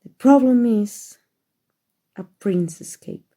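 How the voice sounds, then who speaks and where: A woman playing a powerful, aristocratic vampire in an ASMR roleplay, with formal, measured, protective speech. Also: medium pitch, animated, dark tone. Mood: disdainful, troubled.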